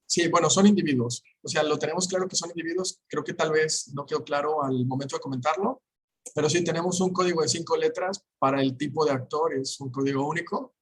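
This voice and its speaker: A man at an online academic conference, speaking in a slow, measured way. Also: on the high side, fairly even intonation, slightly rough. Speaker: conference presenter.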